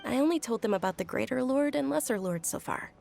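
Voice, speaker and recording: A woman voicing a gentle, gracious young female patron in a video game, polite and composed. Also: fairly high pitch, animated, quick pace, vocal fry, soft background music. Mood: composed, kind. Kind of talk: video game character acting.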